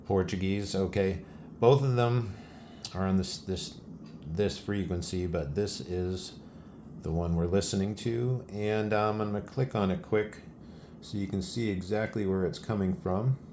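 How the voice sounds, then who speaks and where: A man's voice: deep voice, unhurried. A man giving a casual, unscripted narrated demonstration as a radio hobbyist host, explaining things informally. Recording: loud shortwave radio static and noise. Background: shortwave radio broadcasts and static.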